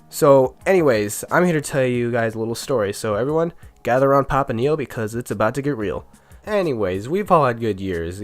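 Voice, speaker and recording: A young man with a nasal, stuffy voice from being sick, telling a joking, chatty story about his school days with small stumbles. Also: medium pitch. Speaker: YouTuber. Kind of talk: casual storytime narration.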